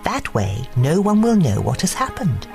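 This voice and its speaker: A woman's voice: sits low, huge pitch swings, normal speed, gravelly, over music, heavily acted. A woman voicing a feisty, stubborn female fairy in a children's storybook, with an emphatic manner. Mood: earnest.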